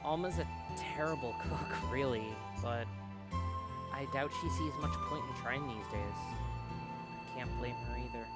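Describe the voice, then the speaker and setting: A reflective storyteller, read by a man, gently wry and resigned, narrates a visual novel in a mid-pitched voice. Loud music runs underneath. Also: measured pace, natural voice. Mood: wry, melancholy.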